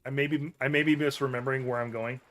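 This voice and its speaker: A man's voice: medium pitch, fairly even intonation, fast, a bit bright, slight background noise. A man streaming an action game, giving loose, rambling commentary as he plays. He often corrects himself mid-sentence and chats to his viewers. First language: English. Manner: rambling, self-correcting, talks to chat as he plays. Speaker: gaming streamer. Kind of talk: casual let's-play commentary while playing.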